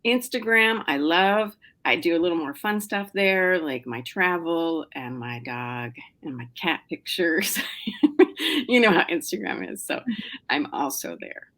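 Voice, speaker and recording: A woman's voice: lowish voice, expressive, measured pace, fairly bright tone. A middle-aged woman novelist chatting as a podcast guest, with lots of fillers, restarts and small laughs. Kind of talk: casual interview chat.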